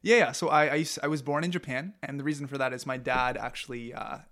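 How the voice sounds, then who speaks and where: A man's voice: mid-range voice, steady tone, fast talker, a bit bright. A young man, a podcast guest, telling his life story in a loose, rambling way with many fillers. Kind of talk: casual interview.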